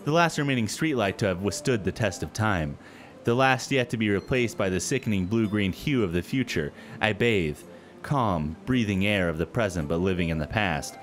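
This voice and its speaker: A man voicing a shy, gloomy teenage literature club girl with a deep, dark, sullen delivery and a bookish manner. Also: lively intonation, slight background noise, lightly acted. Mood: somber. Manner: stammering when nervous, otherwise measured and bookish.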